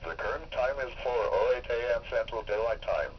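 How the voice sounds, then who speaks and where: A man reading a formal, measured station announcement over a weather radio. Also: mid-range voice, animated, distant mic. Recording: dull noisy weather radio, picked up from afar.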